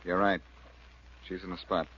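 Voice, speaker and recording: An actor playing a confident, wisecracking reformed crook turned sleuth in a 1940s radio drama, with a cool, glib delivery. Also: male voice, deep voice, little pitch movement, normal speed, a bit bright. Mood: puzzled, probing. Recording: muffled, hissy vintage radio recording.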